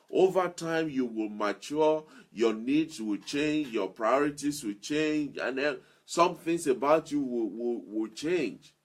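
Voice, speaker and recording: A man giving an earnest motivational talk as a self-improvement speaker, repeating key phrases for emphasis. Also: mid-range voice.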